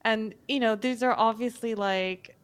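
A woman musician speaking as a guest in a casual panel talk, thoughtful and halting, with many fillers and restarts. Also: a little high, fairly even intonation, clean tone, dry recording.

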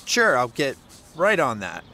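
Wry fed up young reporter, medium voice, audio drama, bit of background noise. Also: male voice, mid-range voice, wildly swooping pitch, a little slow, creaky, sharp tone, exaggerated voice. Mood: sarcastic. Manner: dry, frustrated, wry. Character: sardonic, restless small-town young male reporter. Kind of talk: naturalistic audio drama dialogue.

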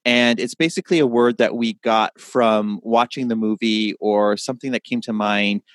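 A man's voice: lowish voice, normal speed, no echo. A man hosting a casual podcast, upbeat and chatty, explaining things as he goes. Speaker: podcast host.

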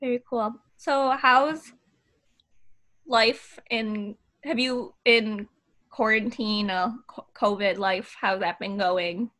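Female podcast host, young adult, American accent, slightly high bright voice, informal chat. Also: slow pace. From Michigan, United States. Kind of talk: casual interview chat.

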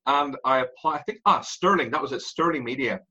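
A man in his forties with an Irish accent, a guest telling his story in an animated way and laughing through it. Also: medium pitch, brisk, husky, dull audio. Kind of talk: candid interview storytelling.

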